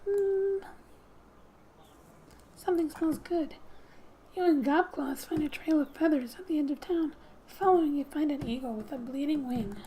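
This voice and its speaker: A woman speaking softly and breathily, often near a whisper, as she gently reads game text aloud for an ASMR gaming video. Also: high-pitched, a little hiss or hum, low-quality recording.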